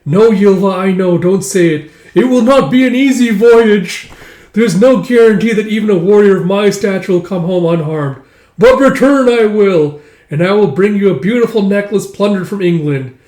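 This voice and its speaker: A man acts out a boastful young Viking warrior, grandiose and blustering. The voice sits high, with a noticeable room echo, like storytelling aloud. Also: normal speed, a little mellow, natural voice. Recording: echoey room.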